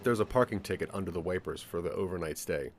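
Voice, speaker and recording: A man's voice: deep voice, fast. A man narrating a scripted second-person story for an interactive audio drama.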